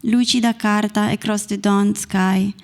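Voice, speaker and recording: A woman in her thirties from Italy with an Italian accent, reading her poetry slowly and deliberately into a stand mic on a small stage. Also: medium pitch, flat delivery. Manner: measured, deliberate reading from a page. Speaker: poet reading her work. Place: small stage in a dark performance venue. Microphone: stand mic close to the mouth.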